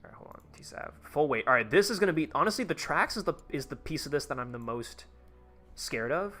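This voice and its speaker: Give me a male streamer with a bright, sharp tone talking loosely to chat, with faint music behind him. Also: a little high, expressive, fairly quick. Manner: rambling, profane, talks to chat while playing. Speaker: streamer playing a tycoon game. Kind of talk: casual live-stream chat.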